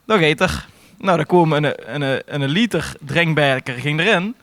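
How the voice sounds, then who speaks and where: Limburg podcast guy, bit higher voice, halting casual storytelling, dry mic. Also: animated, fairly bright tone, no room sound. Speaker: podcast host. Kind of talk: casual anecdote in podcast chat.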